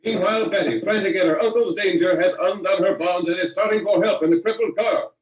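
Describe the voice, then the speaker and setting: A man's voice: high voice, normal speed, distant mic, close to an everyday voice. An actor playing a theatrical, suspenseful male narrator of an old western adventure serial. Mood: urgent. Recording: muffled, echoey old serial soundtrack.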